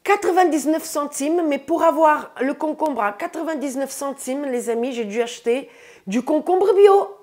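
A woman in her thirties with a stuffy nose from a cold, chatting casually to her viewers about her groceries in a bright room, recorded on a lapel mic. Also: high voice, lively intonation, moderate pace. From France. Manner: chatty, says "les amis" often, upbeat. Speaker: vlogger. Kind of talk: casual grocery haul vlog. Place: bright room indoors by a window.

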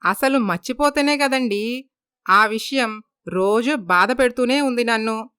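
Woman playing a bitter, bright-voiced mother-in-law. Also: fairly high pitch, measured pace, smooth, dry recording, studio quality. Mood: bitter lament. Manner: sarcastic, complaining. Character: bitter, grumbling older mother-in-law, longing for grandchildren.

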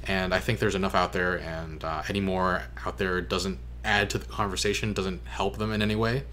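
Young guy doing casual reaction commentary, low voice, kinda halting and earnest, bit of room noise. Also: fairly even intonation, a bit bright. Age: young adult. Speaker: reaction video host. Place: small bedroom, indoors. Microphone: desk microphone.

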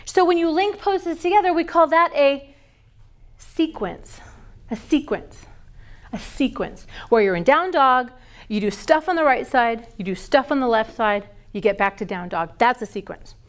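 A woman with a high, bright voice leads a class of yoga teacher trainees. She keeps firing questions at the group and answers them herself. She sounds middle-aged and is picked up by a camera across a large wooden studio. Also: normal speed. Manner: lively, quizzes students with questions. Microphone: far camera.

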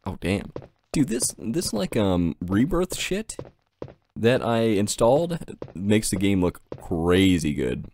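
A man's voice: lowish voice, sing-song, slow, darkish tone, slight background noise. A man giving casual let's play commentary, crude and exclamatory, swearing freely. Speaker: gaming video host.

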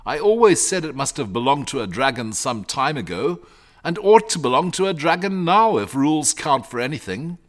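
A man voicing a calm, bookish young boy in a storybook, matter-of-fact, patient and slightly superior. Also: fairly high pitch, normal speed, pitched above the performer's own voice. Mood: calm, assured. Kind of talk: storybook character reading.

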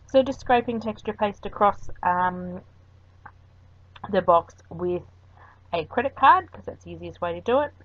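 Chatty woman, low-set voice. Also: lively intonation, measured pace, pauses often, no room sound. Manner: chatty and rambling, with frequent ums. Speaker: craft video host. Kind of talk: voice-over craft tutorial narration. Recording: dull lo-fi recording.

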